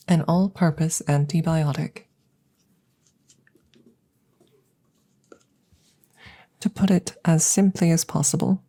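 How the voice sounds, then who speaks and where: A woman voicing a curious, cautious alien scientist in a soft, hushed ASMR roleplay, speaking formally and deliberately and slipping into whispers. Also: deep voice, vocal fry. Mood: calm, matter-of-fact. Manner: measured, formal, deliberate phrasing.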